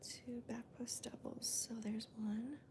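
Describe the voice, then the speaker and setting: A woman hosting a crochet video in a soft, whispery voice, calmly counting stitches aloud as she works. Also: a little high, takes it slowly. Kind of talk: soft-spoken crochet demonstration and chat.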